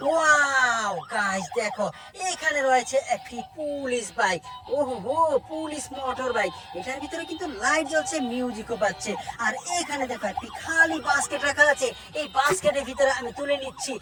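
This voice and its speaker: A woman's voice: sits high, normal speed, poor audio. A woman presenting toys to viewers in an exclamatory way, with short phrases and frequent wows. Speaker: presenter of a toy video. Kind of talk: toy showcase narration for viewers.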